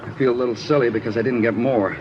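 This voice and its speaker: An actor playing a plain-spoken, unsure male eyewitness in an understated 1950s radio drama, speaking in a halting, folksy way. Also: mid-range voice, fairly even intonation, natural voice. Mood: sheepish. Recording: noisy, dull 1950s radio recording.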